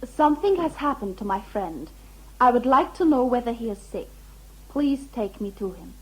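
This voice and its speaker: An actress playing a composed, cryptic woman traveller with a husky voice and formal manner in an audio drama. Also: a little high, animated, moderate pace, rough recording. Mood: grave.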